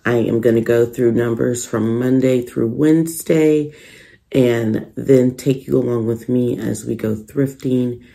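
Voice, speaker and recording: A woman's voice: a bass voice, fairly even intonation, unhurried, gravelly, warm tone. A tired woman vlogger who is losing her voice, chatting casually and rambling to her viewers about her resale business. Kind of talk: casual vlog chat to viewers.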